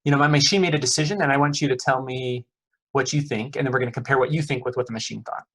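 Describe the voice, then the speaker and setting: Middle-aged prof guy lecturing casually, kinda husky, asks the room questions. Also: mid-range voice, very fast, a bit bright. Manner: friendly, quizzes the audience, many fillers like uh and okay. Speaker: economics professor giving a guest talk.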